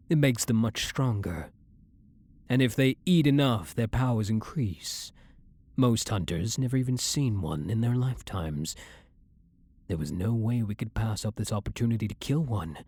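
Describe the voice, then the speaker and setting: A man's voice: mid-range voice, darkish tone, dry recording. A man playing a centuries-old vampire hunter who is himself a vampire, blunt and brooding, in a dramatic ASMR roleplay monologue. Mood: grim, regretful.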